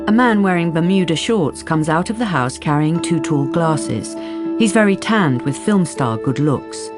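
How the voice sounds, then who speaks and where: A woman's voice: deep voice, expressive, a little slow. A woman giving scripted narration as the narrator of a radio drama. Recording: music behind the voice.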